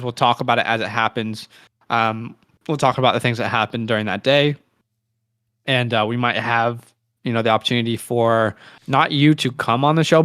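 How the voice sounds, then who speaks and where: A man's voice: medium pitch, fairly bright tone, clean tone, no room sound, clean studio sound. A man in his late twenties co-hosting a casual sports livestream, talking informally with plenty of slang. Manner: informal slang, chummy address. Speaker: co-host of a fantasy football show.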